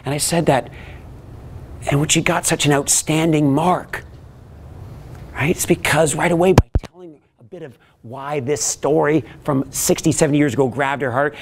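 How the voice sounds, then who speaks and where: A middle-aged man from Canada running an energetic leadership workshop in a large classroom, upbeat and animated, calling out loudly to the room, recorded by a camera across the room. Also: medium pitch. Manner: upbeat, animated, with sudden loud calls to the room. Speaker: leadership trainer. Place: large classroom, standing before a crowd of students. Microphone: far camera.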